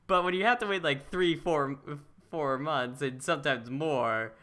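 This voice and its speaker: A man hosting a casual podcast interview, talking in a rambling, halting way and often repeating his words. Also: on the high side, pushed and bright. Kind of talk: casual chat.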